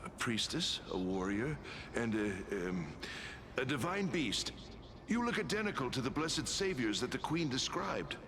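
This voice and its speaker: An actor playing a courteous, weary military officer of an ancient kingdom in a video game, speaking formally and hesitantly. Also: male voice, medium pitch, very animated, measured pace, noisy background. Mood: apologetic, polite. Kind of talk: video game story dialogue.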